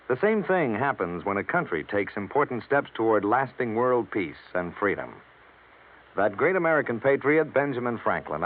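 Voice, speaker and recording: A man reading a scripted mid-century radio public service message with earnest, measured oratory. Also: medium pitch. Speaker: radio announcer. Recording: old radio, narrow tinny band.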